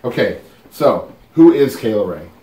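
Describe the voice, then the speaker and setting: A man's voice: medium pitch, very animated, measured pace, crowd noise. A man with a Boston accent hosting an interview show, chatty and enthusiastic. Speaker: podcast host. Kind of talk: casual interview chat. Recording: roomy, from a distance.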